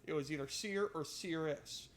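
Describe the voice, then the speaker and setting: A man's voice: on the high side, normal speed. A man streaming a game, switching between reading narration aloud and casual, irreverent, sweary joking commentary.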